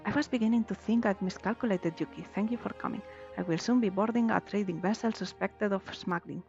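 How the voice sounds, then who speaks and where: A woman reading aloud the lines of a calm, formal female arcanist officer in a game, in a measured way with small stumbles. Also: medium pitch, soft background music, lightly acted. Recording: slightly dull lo-fi stream audio.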